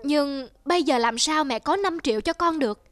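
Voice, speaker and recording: A woman playing a poor, self-sacrificing elderly mother in an animated moral tale, patient, plaintive and weary. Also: sits high, moderate pace, no echo, clean studio sound. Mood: pleading.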